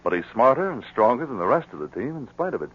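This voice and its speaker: An actor playing a friendly, commanding Mountie sergeant in 1940s radio drama style, on a noisy old recording. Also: male voice, medium pitch, big pitch swings, fast talker, a bit bright, highs cut off. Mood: assured. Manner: hearty, commanding, assured. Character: brisk, friendly Mountie sergeant with sled dogs.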